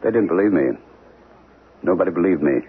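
Deep-voiced, obsessed, anguished man. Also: animated, fairly quick. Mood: brooding, insistent. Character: anguished, obsessed man convinced he saved the world. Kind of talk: radio drama acting. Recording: muffled, noisy vintage radio broadcast.

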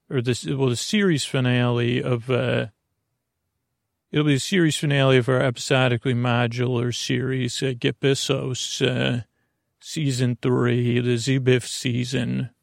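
A man with a noticeably creaky, middle-pitched voice hosts a bedtime podcast. He rambles in a silly, roundabout way, hesitating and restarting his sentences. The sound is dry, with no room echo. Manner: meandering, hesitant, self-interrupting; whimsical, silly. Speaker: podcast host.

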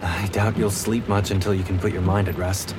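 A man's voice: low voice, level tone, fairly quick, music in the background, close to an everyday voice. An actor playing a world-weary but sympathetic 1930s American policeman in a noir audio drama, with a casual, suave manner and an American accent. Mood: coaxing.

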